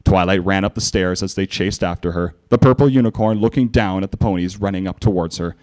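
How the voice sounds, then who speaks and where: A man giving a theatrical, animated live reading of fan fiction as narrator and presenter. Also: low-pitched, brisk.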